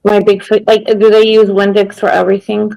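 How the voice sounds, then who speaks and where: A woman's voice: medium pitch, completely flat delivery, a little fast, gravelly, dark tone, rough recording. A woman with a medium voice chats casually. She teases the others and cuts in with repeated questions. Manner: teasing, questioning, interrupts. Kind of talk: casual group chat.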